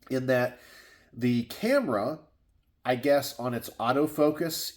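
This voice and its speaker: A middle-aged man from Texas, hosting his gun channel in a casual solo chat from his garage. He sounds stuffed up and sniffly and rambles, with many fillers and restarts. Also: medium pitch. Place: home garage.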